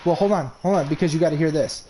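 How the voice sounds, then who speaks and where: A crude, boastful young man from New York trades stories over voice chat, stumbling and restarting as he goes. His voice sits a little high and sounds soft and mellow. A faint noise floor hums behind him. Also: racing. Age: 20s. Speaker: gamer in voice chat. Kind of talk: casual chat.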